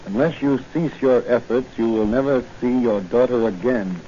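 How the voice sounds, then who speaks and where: A muffled 1940 radio recording with light hiss. An actor plays a decisive police hero in a mid-pitched male voice, clipped and matter-of-fact. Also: moderate pace, warm tone, natural voice. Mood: serious, wary. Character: confident, brisk crime-fighting police officer hero. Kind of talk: 1940s radio acting.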